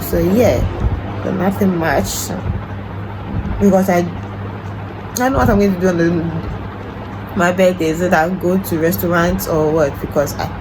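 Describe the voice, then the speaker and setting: A young woman in her twenties chats through her morning at home, rambling and poking fun at herself. Her voice sits low and groggy. Steady background noise runs under the recording. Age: 20s. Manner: chatty, rambling, self-deprecating. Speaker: vlogger. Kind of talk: casual vlog chat. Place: at home, indoors.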